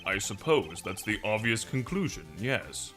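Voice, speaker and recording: A man's voice: on the low side, very animated, measured pace, a bit bright. A man voicing a pompous, authoritative courtroom judge in a video game fandub, formal and ponderous. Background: music.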